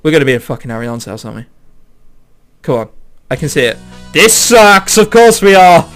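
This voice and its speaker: A man's voice: fairly high pitch, big pitch swings, fairly quick, fairly bright tone, faint music behind. A man who is a let's player reading a visual novel aloud dramatically, with exclamatory, sweary joking commentary.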